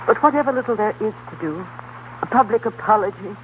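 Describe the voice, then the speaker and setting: A woman's voice: mid-range voice, fast, natural voice. An actress playing a stern, dignified elderly grandmother, formal and grave in 1930s radio drama style. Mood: grave, contrite. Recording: noisy, tinny 1930s radio broadcast.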